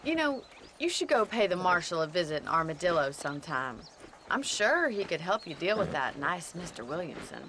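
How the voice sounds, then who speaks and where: Young american ranch gal, sassy and wry, really animated, western game cutscene, some outdoor noise. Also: female voice, fairly low pitch, normal speed. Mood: chatty. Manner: forthright, wry, playful. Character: spirited, teasing young frontier ranch woman. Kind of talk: video game cutscene acting.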